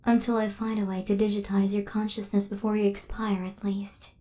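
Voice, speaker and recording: A soft, breathy female killer robot, acted by a woman in an ASMR roleplay. The tone is dark and mellow, with very little rise and fall. The phrasing is formal and measured like a machine, yet tenderly possessive and devoted. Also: medium pitch, level tone, natural voice. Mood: gentle, reassuring. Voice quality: soft, breathy half-whisper. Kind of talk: intimate ASMR roleplay acting.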